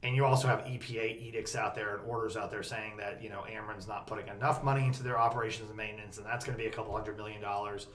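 A man hosting a podcast about energy policy, talking casually with a loud, projecting voice and plenty of emphasis. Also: on the low side, little pitch movement, racing, hardly any pauses. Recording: roomy echo, faint background noise.

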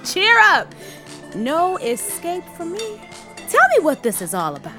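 A woman's voice: very high voice, wildly swooping pitch, a little slow, sharp tone, faint music behind, full character voice. A woman playing a blunt, teasing, scornful friend in an audio comedy drama, with a sarcastic, brash way of talking.

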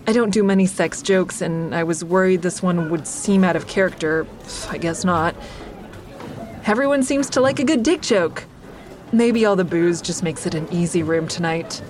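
A woman's voice: fairly low pitch, moderate pace, a little mellow, slight background noise, lightly acted. An actress playing a wry stand-up comedian who narrates her own story conversationally in an audio drama. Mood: wry, reflective.

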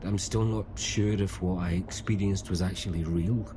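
Man acting deep male voice, weary, haunted, guarded, hesitant, audio drama, noisy vehicle background. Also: steady tone, normal speed, lightly acted. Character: weary, haunted, reticent male space mission survivor. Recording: noisy vehicle ambience behind dialogue.

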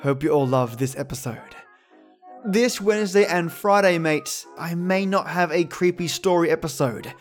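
Higher-voiced male host over music. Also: moderate pace. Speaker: host of a storytelling show. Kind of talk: casual outro chat to listeners. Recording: music behind the voice.

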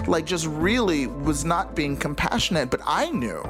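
An interview guest speaking reflectively and conversationally, in an emphatic, expressive storytelling way. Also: mid-range voice, normal speed. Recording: music playing behind the voice.

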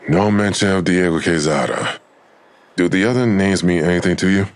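Very deep, gravelly male detective. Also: normal speed. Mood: skeptical. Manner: terse, brooding, questioning. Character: terse, probing male police detective. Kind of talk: audio drama acting.

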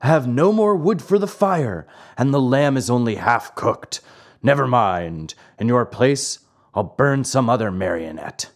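A man voicing a huge, bearded, gruff but soft-hearted puppet master in a commanding, theatrical storybook style. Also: medium pitch, big pitch swings, a little slow. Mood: grumbling.

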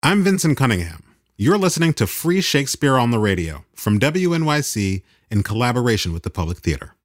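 A man hosting a radio broadcast, making a smooth station announcement. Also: medium pitch, sing-song, a little fast, no echo, clean studio sound. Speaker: radio host.

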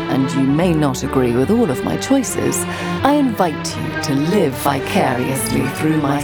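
A woman playing a wry, blunt 19th-century piano teacher and ghost seller in an audio drama. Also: sits low. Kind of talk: audio drama acting and confessional narration. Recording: music under the voice.

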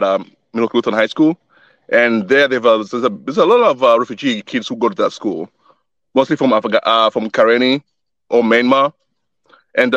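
Young male guest, relaxed and halting, dry close mic with a slightly muffled sound. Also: mid-range voice, no room sound. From born in Wisconsin, grew up in Tanzania. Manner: relaxed, repeats words often while he thinks. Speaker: guest, youth soccer organization founder. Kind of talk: casual interview chat.

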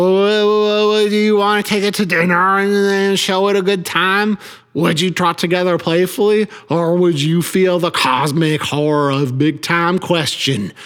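A man hosting a podcast, introducing the show in a halting, folksy, oddly worded way with frequent stammers. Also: on the high side, a bit bright, clean tone, long reverb tail. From Billings, Montana. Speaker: podcast host. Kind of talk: quirky scripted host monologue.

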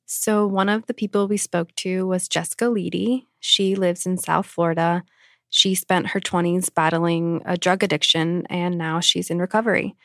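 A woman's voice: on the low side, steady tone, normal speed, no room sound, studio quality. A woman who works as an editor and creative director, describing interviews in a matter-of-fact way. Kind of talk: podcast interview narration.

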